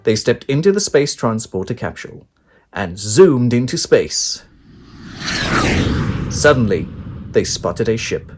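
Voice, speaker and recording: A man reading a children's picture book aloud, narrating in an animated, expressive way. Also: mid-range voice, slow pace, pauses often. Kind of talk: read-aloud storytelling.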